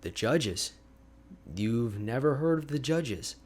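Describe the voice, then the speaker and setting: A man acts out an energetic male ice mage hermit for a fantasy ASMR roleplay. He is chatty and boastful, speaking at an unhurried pace in a mid-pitched male voice. The delivery is playful and expressive. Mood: explanatory. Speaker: audio roleplay voice actor.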